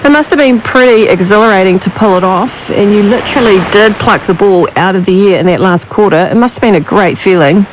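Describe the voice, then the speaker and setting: A woman's voice: medium pitch, brisk, hardly any pauses. Kiwi woman chatting sport on the radio, dull shortwave sound with lots of noise. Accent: New Zealand. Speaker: sports pundit interviewed on radio. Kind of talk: conversational radio interview.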